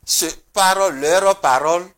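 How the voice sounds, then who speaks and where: A man speaking as a traditional spiritual guest on a TV talk show, earnest and emphatic, with pauses and restarts. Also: sits high, fairly bright tone.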